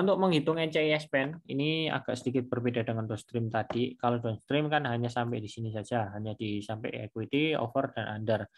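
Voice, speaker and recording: A man's voice: mid-range voice, highs cut off. A man giving an informal step-by-step lesson as a lecturer, hesitant and often searching for words. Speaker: accounting lecturer.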